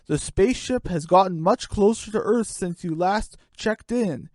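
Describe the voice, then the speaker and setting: A woman's voice: mid-range voice, lively intonation, a little slow, a little mellow, dry recording, clean studio sound, voice pushed down. A woman voicing a male poultry field reporter in a dramatic, choked delivery. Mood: disgusted. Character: poultry telescope reporter, male, gagging on gas.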